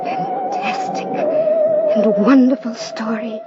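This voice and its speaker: A woman's voice: extremely high pitch, wildly swooping pitch, slow pace, full character voice. A woman playing an alien wanderer's admiring companion, with formal, stagy delivery in an old radio drama. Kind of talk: old-time radio sci-fi drama acting. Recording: dull old radio recording. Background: theremin music.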